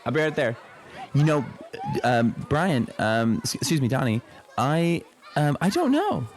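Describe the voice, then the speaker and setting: Man as a patient, animated zookeeper amid crowd noise. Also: fairly high pitch, a little slow. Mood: flustered, placating. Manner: hesitant, placating, trailing explanations. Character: polite, patient, weary zookeeper fielding a child's questions. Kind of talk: improvised comedy radio play.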